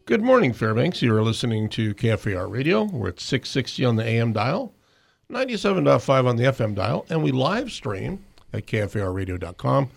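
A man's voice: medium pitch, very animated, a little fast, dry recording. A man, hosting a casual radio talk show with jokey interjections. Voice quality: raspy. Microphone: studio microphone.

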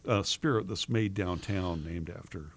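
Man, very low voice, a bit hoarse, chatty and halting, lots of filler words. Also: no echo. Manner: rambling, halting with many fillers and restarts. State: hoarse, losing his voice. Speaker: distillery owner. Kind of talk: casual interview talk.

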